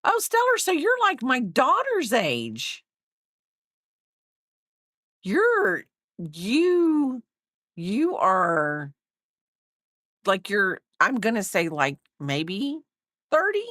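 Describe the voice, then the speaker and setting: A woman's voice: fairly high pitch, wildly swooping pitch, drawn-out words, long pauses, a bit bright, no echo, clean studio sound. A woman in her fifties chatting casually on a crochet livestream, rambling and reading out viewer comments. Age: 50s.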